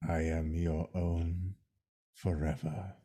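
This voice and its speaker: An actor playing a scheming soldier who feigns devoted loyalty, solemn and measured, in a Shakespearean audio drama. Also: male voice, low voice, level tone, dark tone. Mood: quietly obedient.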